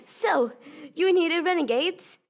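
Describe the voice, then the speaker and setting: A woman's voice: very high-pitched, lively intonation, slow, creaky, full character voice. A woman playing a blunt, impatient and sarcastic Time Lord field agent in a sci-fi audio drama. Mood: wry.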